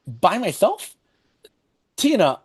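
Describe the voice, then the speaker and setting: A raspy man acting a needy, worried friend in a drama table read. His pitch swings around a lot as he pleads anxiously, recorded close and dry. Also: huge pitch swings, slow pace, no room sound, heavily acted. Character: anxious, needy, jealous-sounding man fretting over absent friend.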